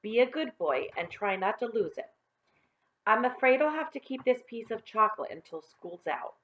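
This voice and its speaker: A woman voicing a stern but concerned female schoolteacher in a children's storybook, speaking in a firm, measured way. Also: a little high, animated, fairly bright tone, voice pushed up, poor audio. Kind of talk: children's storybook read-aloud.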